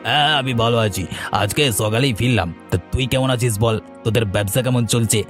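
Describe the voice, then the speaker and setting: A man's voice: mid-range voice, quick pace, soft background music, natural voice. Breezy, greedy village schemer played by a man. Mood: cheerful. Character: breezy, greedy, unscrupulous friend back from the city. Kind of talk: Bengali fairy-tale cartoon dubbing.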